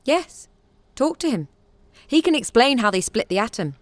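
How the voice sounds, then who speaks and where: A woman acting in an audio drama as a bossy, driven theatre director in 1940s England, with a brisk, commanding manner. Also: fairly high pitch, sing-song, no echo. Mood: decisive.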